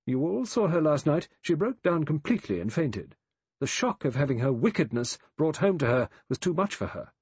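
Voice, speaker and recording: Audiobook spinster, played by a man: raised pitch, hard and emphatic, righteously condemning. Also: sing-song, moderate pace, darkish tone, no room sound, heavily acted. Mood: accusing. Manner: hard, clear, emphatic pronouncements. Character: stern, pious, self-righteous spinster, unshakably condemning sinners.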